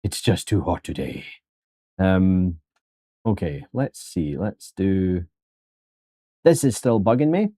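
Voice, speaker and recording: A man's voice: low voice, sing-song, slow pace, long pauses, dark tone, no room sound, studio quality. A man teaching 3D sculpting on a live stream in a casual, joking way, talking to his viewers as folks.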